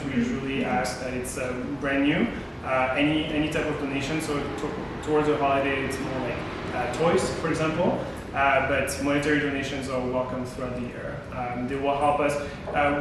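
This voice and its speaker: A man with a French accent speaking informally to a members' group, with many "uh" fillers and some self-deprecating laughs. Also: medium pitch. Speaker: charity communications manager. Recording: distant mic in an echoey room with steady background noise.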